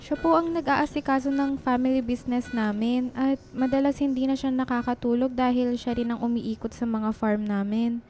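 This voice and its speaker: A woman's voice: a little high, steady tone, normal speed, darkish tone, faint music behind, close to an everyday voice. A woman playing a teasing, caring young best friend in a radio drama. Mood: concerned.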